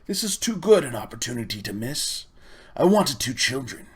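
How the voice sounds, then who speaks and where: Man voicing a sly, hushed old uncle. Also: medium pitch, sing-song, moderate pace, husky. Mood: sly, menacing. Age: older. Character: sly, menacing old uncle, tall thin eccentric.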